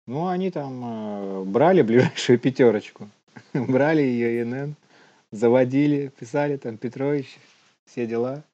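Dude on a podcast, dark soft-edged lowish voice, telling stuff and reading news, bit muffled. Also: male voice, a little slow. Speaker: podcast co-host. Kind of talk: casual storytelling and news reading.

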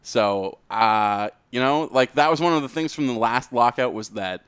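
Man, podcast co-host, smooth clean voice, dry close sound, casual joking chat. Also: medium pitch, normal speed, fairly bright tone, dry recording.

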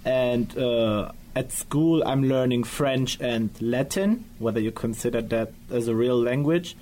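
A teenage boy from Germany introducing himself in a relaxed, slightly wry way. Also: medium pitch, unhurried, a little hiss or hum. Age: teens. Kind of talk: self-introduction.

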